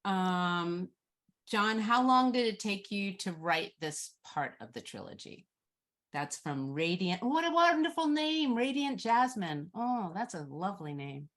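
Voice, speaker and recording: A woman with a mid-pitched voice moderates an informal online Q&A. She speaks haltingly, working out her questions as she goes. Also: very animated, fairly bright tone. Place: online video call.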